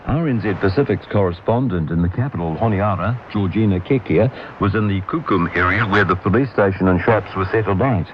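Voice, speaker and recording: A man reads the radio news in a measured, formal way. His voice sits very low. It comes through a muffled shortwave signal buried in loud hiss. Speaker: newsreader. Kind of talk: scripted radio news bulletin.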